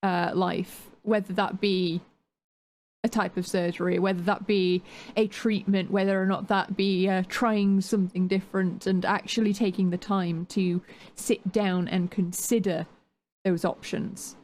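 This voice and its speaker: Brief: woman co-host, slow and deliberate, mid pitch. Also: little pitch movement, darkish tone. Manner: slow and measured. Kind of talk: conversational chat.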